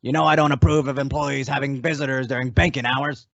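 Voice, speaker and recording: A man's voice: mid-range voice, steady tone, vocal fry, a bit bright, no echo. An actor playing a stern, formal male bank manager in an old-time radio western. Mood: stern, guarded. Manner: formal, brisk. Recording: dull lo-fi audio.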